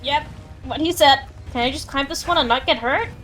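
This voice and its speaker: A woman's voice: sits high, fairly quick, a bit bright, soft background music. A woman giving casual live commentary as she plays a video game. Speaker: game streamer.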